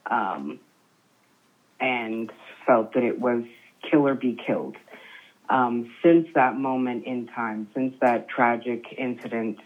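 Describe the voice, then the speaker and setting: A woman in her thirties on the phone, telling her family's story in a halting way, with many fillers and restarts. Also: a bass voice. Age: 30s. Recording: tinny phone line.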